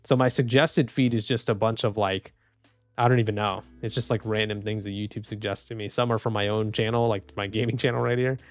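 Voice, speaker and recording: A man live streaming and reading chat, speaking softly and calmly with frequent restarts. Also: on the low side, a little fast, dry recording. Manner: soft-spoken, calm, trailing off with restarts and small laughs. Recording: dry close mic, faint music underneath.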